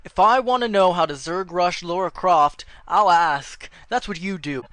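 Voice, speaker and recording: A man's voice: sits high, measured pace, fairly bright tone, no echo. A man playing a petulant, scatterbrained internet radio host in a comedy sketch, distracted, childish and defensive. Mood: indignant.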